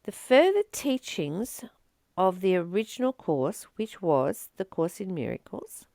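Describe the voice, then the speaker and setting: Woman doing spiritual readings, really slow n deliberate, gravelly fry in her voice, very dry close sound. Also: lowish voice, big pitch swings, a little mellow, no room sound. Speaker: host of a spiritual reading channel. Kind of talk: reading aloud with reflective spiritual teaching.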